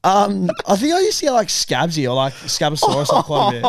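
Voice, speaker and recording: Raspy young man, gravelly voice. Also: high-pitched, a little fast, no echo. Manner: swearing, laughing, lively storytelling. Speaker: podcast co-host. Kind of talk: casual chat. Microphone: studio podcast mic.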